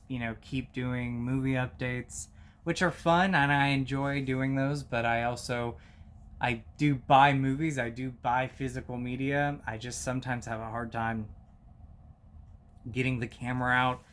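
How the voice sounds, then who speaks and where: A man in his thirties talking casually and from the heart to his channel's viewers, with a halting delivery. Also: medium pitch, a bit bright. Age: 30s. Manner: halting, many fillers and restarts, heartfelt. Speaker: movie collector YouTuber. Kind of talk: casual personal vlog talk.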